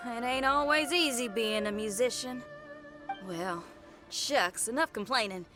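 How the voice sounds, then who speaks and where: A woman's voice: fairly high pitch, slow pace, music in the background. A woman playing a spirited, tenacious young female rock musician in a video game, with a folksy, colloquial manner. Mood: resilient, upbeat. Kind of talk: video game character dialogue.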